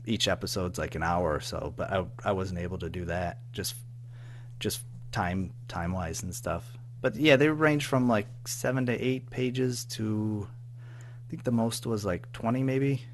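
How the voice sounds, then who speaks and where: Low-voiced man chatting haltingly. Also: a little hiss or hum. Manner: hesitant, halting, self-effacing. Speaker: guest, audio drama writer and producer. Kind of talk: casual interview chat.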